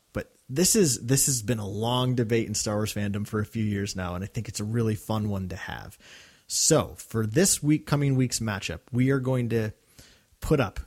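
A man co-hosting a fan podcast, speaking in an earnest, thankful, steady way. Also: on the low side. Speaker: podcast co-host. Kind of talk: casual podcast chat.

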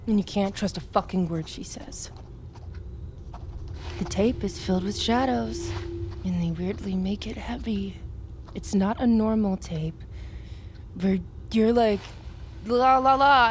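Actress as a sardonic, defiant young Army recruit. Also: female voice, fairly high pitch, darkish tone, a little hiss or hum, close to an everyday voice. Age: young adult. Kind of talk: naturalistic audio drama acting.

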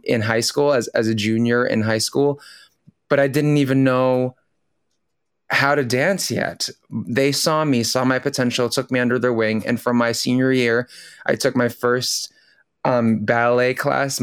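A man's voice: mid-range voice, fairly bright tone, clean tone, no echo, studio quality. A man from the Philippines whose first language is Tagalog, chatting as a guest on an interview podcast, friendly and full of restarts. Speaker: podcast guest, Broadway performer. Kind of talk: casual interview.

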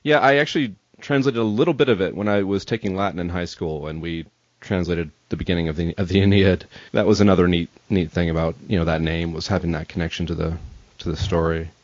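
Male podcast host, deep and tentative, casual delivery, dry but slightly dull recording. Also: fairly even intonation, fast, no echo. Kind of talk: casual chat. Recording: dull, lo-fi close microphone.

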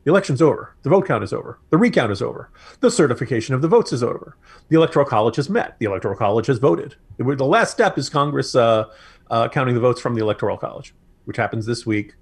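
A man's voice: mid-range voice, lively intonation, fast talker, slightly rough. An American man co-hosting a political podcast, giving opinionated commentary in an emphatic, sardonic way with mocking asides.

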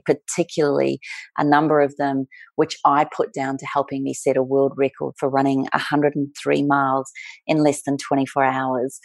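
A middle-aged woman with a New Zealand accent, a guest telling her story in an interview with emphatic warmth. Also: low-pitched, creaky, no echo. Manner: warm, emphatic storyteller, often saying "look". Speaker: guest, aromatherapist.

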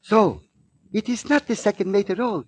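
A man's voice: sits high, expressive, moderate pace, breathy edge. An actor playing a cunning East Indian male smuggler with formal, taunting phrasing in a 1940s radio drama. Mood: smug menace. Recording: muffled vintage 1940s radio recording.

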